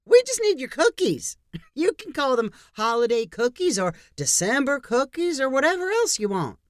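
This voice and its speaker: A pushy, cheerful middle-aged neighbor woman, put on by a woman reading aloud, who bursts out loud and high with sweeping, lively swings. She gushes and steamrolls anyone in her way, and the reading sounds dry and close. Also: moderate pace, no echo. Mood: gushing. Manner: loud, gushing, overbearing.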